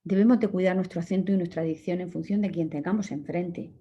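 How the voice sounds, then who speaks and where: Mellow-voiced Murcian woman teaching calmly. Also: fairly low pitch, fast talker, dull audio. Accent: Murcian Spanish. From Murcia, Spain. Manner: calm, instructive, gives direct advice in imperatives. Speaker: communication course instructor. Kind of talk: online lecture to course participants.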